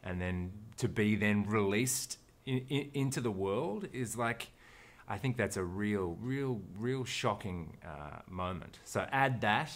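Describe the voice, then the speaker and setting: A man's voice: fairly low pitch, animated, slow pace, few pauses. An Australian man, an actor, talking casually as an interview guest, thoughtful and rambling, with frequent restarts.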